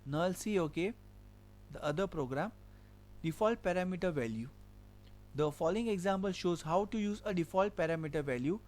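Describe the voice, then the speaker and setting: A man's voice: high voice, expressive, fairly quick, a little mellow. A man teaching a programming lesson, walking through code step by step and repeating each point with a filler "okay". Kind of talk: screen-recorded coding lesson.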